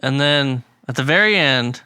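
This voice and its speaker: A man with a bright, forward voice co-hosts a casual music podcast. He talks bluntly and laughs often. The sound is dry and close to the mic. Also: mid-range voice, expressive, normal speed, clear, resonant tone, no echo. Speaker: podcast co-host. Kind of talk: casual chat.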